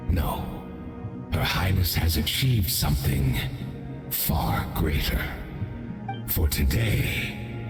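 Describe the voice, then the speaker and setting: A man playing a grandiose, menacing abyssal herald in a video game, speaking in measured, theatrical phrases. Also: low-pitched, gravelly, echoey room, exaggerated voice. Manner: grandiose, measured, dramatic pauses. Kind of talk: video game villain acting.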